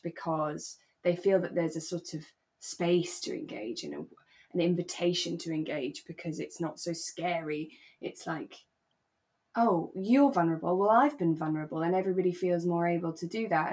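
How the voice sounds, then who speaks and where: Woman w lowish voice chatting on a podcast, relaxed and thoughtful, repeats bits for emphasis. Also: normal speed. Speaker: podcast co-host. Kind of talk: relaxed discussion of a radio drama.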